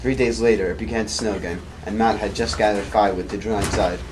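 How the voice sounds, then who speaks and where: Guy narrating a puppet show fast, keeps restarting lines, hissy background. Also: lowish voice, fairly even intonation. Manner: hesitant, with frequent restarts. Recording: noisy amateur recording.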